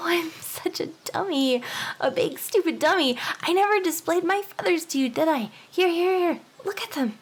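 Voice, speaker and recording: A woman playing an eager, nervous young female harpy in an anime ASMR roleplay, halting and flustered, with stammers and breathy, whispery touches. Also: sits high, full character voice. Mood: anxious, insecure, then showy, pleading. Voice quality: breathy, often slipping into whispers.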